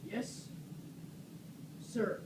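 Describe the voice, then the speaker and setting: A woman plays an obedient servant in a homemade Roman puppet play. The delivery is halting and very drawn out. The sound is distant, echoey and noisy. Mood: dutiful. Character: Lucilius, dutiful Roman servant announcing visitors. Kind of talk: amateur puppet-show Shakespeare parody. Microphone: distant camera microphone. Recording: echoey noisy room, far from mic.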